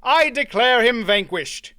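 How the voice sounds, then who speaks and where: A man voicing a confident, courtly knight who speaks in formal archaic phrasing, loud and ringing. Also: extremely high pitch, lively intonation, unhurried, clear, resonant tone, no room sound, studio quality, voice pushed up, exaggerated voice. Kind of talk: audiobook character voice.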